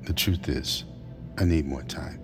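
An earnest, reassuring fellow captive in audio fiction. His very low voice is soothing, set over clear background music. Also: a little slow. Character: earnest, reassuring male escape planner. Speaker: actor in an audio fiction drama. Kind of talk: audio drama acting. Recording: music behind the voice.

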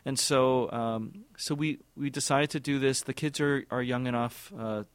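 Halting, thoughtful man in a dry studio. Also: mid-range voice, steady tone, clear, resonant tone, dry recording. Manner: thoughtful, halting, many repeated words and fillers. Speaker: orchestra music director. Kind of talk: radio interview answers.

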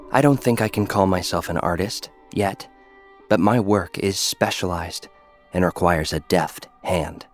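A low-voiced man tells his story in first person, smug and dryly sarcastic, talking down to the listener. Soft music plays beneath his scripted audio drama narration. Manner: arrogant, condescending, dry sarcasm. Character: arrogant male scientist who builds people. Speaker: narrator and lead character.

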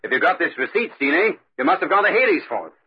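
A man playing a stern laird, curt, suspicious and husky, in 1940s radio style. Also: on the high side, big pitch swings, quick pace, full character voice. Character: stern, sour young laird demanding his rent. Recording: muffled 1940s radio recording.

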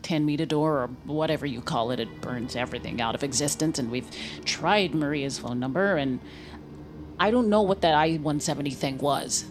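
A woman's voice: sits low, moderate pace, over music, natural voice. An actress playing an earnest, troubled woman investigating an occult mystery in an audio drama. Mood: grave.

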